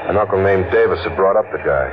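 An actor playing a cynical, hard-boiled young drifter on the run, narrating tersely and sullenly in 1940s radio noir style. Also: male voice, low voice, quick pace, noisy background, natural voice. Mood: calculating. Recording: muffled old radio recording, loud music.

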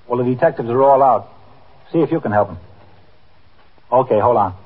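Clipped, dutiful police sergeant. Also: male voice, medium pitch, expressive, a little fast, slightly rough. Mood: dutiful, workaday. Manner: clipped, workaday. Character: plain-spoken, dutiful police switchboard sergeant. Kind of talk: 1950s radio drama acting. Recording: muffled, faintly noisy 1950s radio recording.

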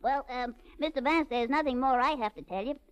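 A woman's voice: high voice, a little fast, clear, resonant tone, close to an everyday voice. A woman playing a meek, apologetic male circus midget in a 1940s radio drama, speaking politely and hesitantly. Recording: muffled 1940s radio recording.

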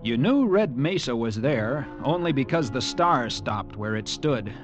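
An actor playing an earnest, uneasy young cavalry lieutenant, telling his story in measured, literary tones for a radio drama. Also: medium pitch, sing-song. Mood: tense, brooding. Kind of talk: dramatic first-person narration, radio drama acting. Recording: old radio broadcast, music behind.

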